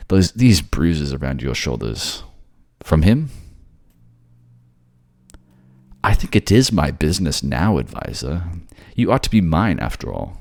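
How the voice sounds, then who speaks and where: Man playing a deep, silky manipulative captor. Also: sing-song, moderate pace, vocal fry, few pauses, a little mellow, no echo, studio quality, heavily acted. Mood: possessive coaxing. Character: suave manipulative male water-folk ruler, soothing captor. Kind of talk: intimate ASMR audio drama acting.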